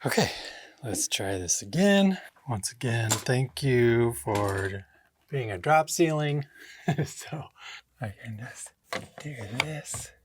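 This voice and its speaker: A man's voice: medium pitch, animated, slow, noisy background. A man narrating a hands-on vlog casually, thinking aloud and talking to himself with short exclamations. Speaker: home theater DIY vlogger. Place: indoor home theater room with drop ceiling.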